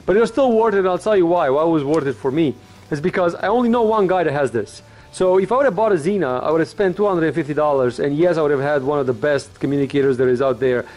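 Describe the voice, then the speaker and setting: A man's voice: fairly high pitch, a little mellow. A man giving a casual, unscripted product review in an informal, blunt, rambling way, like a vlogger.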